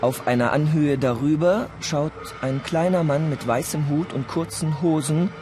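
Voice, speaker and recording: A man narrating a German radio play as a dramatic storyteller with deliberate delivery. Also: on the high side, music in the background, lightly acted. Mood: grave, dramatic. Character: dramatic storyteller of a dreamlike Hollywood disaster.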